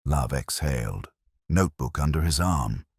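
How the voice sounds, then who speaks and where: A man narrating a scripted mystery story in a measured, dramatic way. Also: rumbling low, animated, husky, no room sound, clean studio sound.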